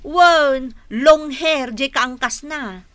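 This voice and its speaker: Mangngutnot a kumare, nangato ti timekna. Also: female voice, sits high, slow, fairly bright tone, no echo. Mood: insinuating. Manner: inquisitive, emphatic gossip. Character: middle-aged gossiping female friend, nosy, insinuating. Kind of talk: Ilocano radio drama acting.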